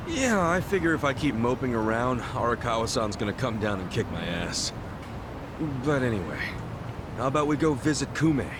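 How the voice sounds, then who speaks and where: An actor playing a loyal, earnest middle-aged ex-yakuza hero in a video game, talking in a blunt, heartfelt, streetwise way. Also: male voice, medium pitch, sing-song, normal speed, background noise, lightly acted. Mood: casual, cocky. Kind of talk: video game cutscene dub acting.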